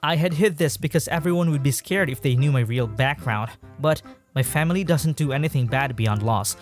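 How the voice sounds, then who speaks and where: A calm, tough teenage boy from a manga story, acted by a man. He speaks in a plain, unhurried, slightly stilted way, with music playing behind him. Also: mid-range voice, natural voice. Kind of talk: scripted manga dub narration.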